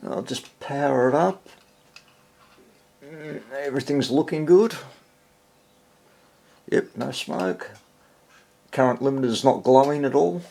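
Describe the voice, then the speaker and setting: A man's voice: mid-range voice, takes it slowly, creaky, long pauses, dark tone. An older man giving casual, unscripted commentary at his workbench, rambling and thinking aloud. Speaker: hobbyist radio repairer and presenter. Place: small indoor home workshop.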